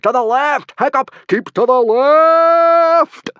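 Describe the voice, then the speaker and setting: A man voicing a loud, blustering male Viking teacher who shouts orders. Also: very high-pitched, slow, no room sound, dull audio, voice pushed up, exaggerated voice. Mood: furious.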